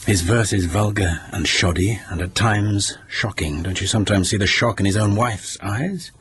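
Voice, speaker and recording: A snobbish, deep-voiced palace lord. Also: male voice, moderate pace, breathy edge. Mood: condescending. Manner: condescending, silky, snobbish. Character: haughty aristocratic palace lord, scornful. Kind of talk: radio drama acting.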